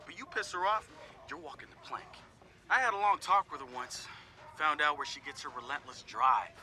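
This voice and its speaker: A man's voice: medium pitch, lightly acted. An actor playing a laid-back young male hacker who sizes up his teammates in a game audio log, talking casually with profane, wry asides. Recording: clear music behind the voice.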